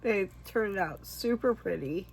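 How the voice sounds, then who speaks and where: Female craft vlogger, hoarse voice, slow casual chat while working, some background noise. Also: medium pitch, lively intonation, low-quality recording. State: hoarse, losing her voice. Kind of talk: casual chatty bead craft tutorial.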